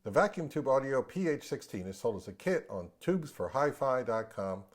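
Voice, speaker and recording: A man's voice: medium pitch, very animated, normal speed. Man hosting an audio gear review. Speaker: host of an audio review channel. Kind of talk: scripted narration and product review.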